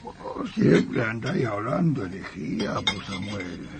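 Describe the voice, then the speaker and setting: A man playing a superstitious, gossipy Chilean peasant in a radio drama, with gravelly rustic country speech and a scolding manner. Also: on the low side, very animated, slow pace, exaggerated voice. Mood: scolding, admonishing.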